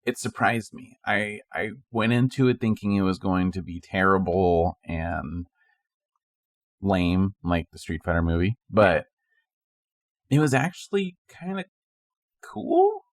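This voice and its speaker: Lower voiced guy just chatting on a podcast, laid-back, no room echo. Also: sing-song, measured pace, clean studio sound. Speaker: podcast co-host. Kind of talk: casual chat.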